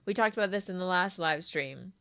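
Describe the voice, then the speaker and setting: A young adult woman hosting a crochet live stream, chatty and friendly with viewers while sick with a sore throat, often clearing her throat. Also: fairly low pitch, brisk, fairly bright tone. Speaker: crochet livestream host. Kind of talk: casual live stream chat.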